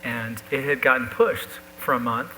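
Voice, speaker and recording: Dude telling a casual story on stage, super bright crisp edge to his voice, slight background hum. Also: mid-range voice, moderate pace. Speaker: panel guest, illustrator. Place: live talk event stage. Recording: noisy live recording with hum.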